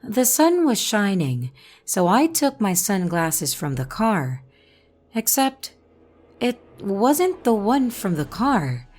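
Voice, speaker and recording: A woman hosting and narrating creepy first-person stories in a slow, expressive way. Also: medium pitch. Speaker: channel host and narrator.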